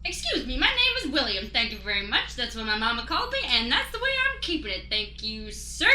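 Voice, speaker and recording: A woman's voice: fairly high pitch, sing-song, fairly quick, sharp tone, reverberant, slight background noise, exaggerated voice. A woman playing a folksy, nosy, chummy country man in an improvised radio play, drawling and rambling theatrically. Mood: jaunty, chummy.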